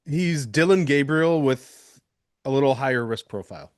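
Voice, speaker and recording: A man's voice: medium pitch, expressive, normal speed. A man co-hosting a casual sports podcast in an easygoing, chatty way. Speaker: podcast co-host.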